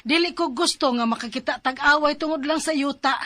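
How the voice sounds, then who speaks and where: A woman's voice: high voice, fairly quick, bright, forceful tone, no room sound. A woman playing a worried, peace-loving middle-aged mother in an audio drama. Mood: worried, pleading.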